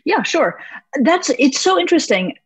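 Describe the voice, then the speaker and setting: A woman's voice: high-pitched, very animated, moderate pace, no echo. Curious woman host, dry close sound. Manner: curious, eager, chatty with fillers. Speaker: podcast host. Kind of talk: casual interview chat. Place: home.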